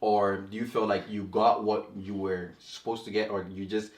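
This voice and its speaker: A man's voice: on the low side, level tone, moderate pace, reverberant. A man hosting a casual interview, asking long rambling questions full of fillers. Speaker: podcast host.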